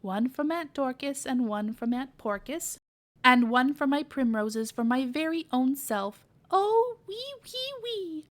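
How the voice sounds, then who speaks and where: A woman's voice: sits high, expressive, slow, pitched above the performer's own voice. A woman voicing a cheerful, innocent young male pig who squeals in a storybook reading. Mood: squealing, merry. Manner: squealing exclamations, childlike phrasing.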